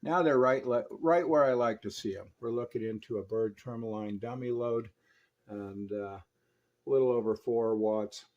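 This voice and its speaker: A man's voice: mid-range voice, moderate pace, a little mellow, lo-fi sound, a little hiss or hum. An American man giving an informal, hands-on repair walkthrough as a technician, using technical hobbyist jargon. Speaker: radio technician and collector presenting restoration.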